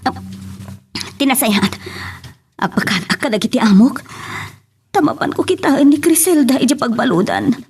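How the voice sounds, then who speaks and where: A woman with an Ilocano accent acting a distraught, halting, alarmed character in a melodramatic audio drama. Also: on the high side, big pitch swings, a little mellow, husky, full character voice. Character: alarmed woman taking a shocking phone call.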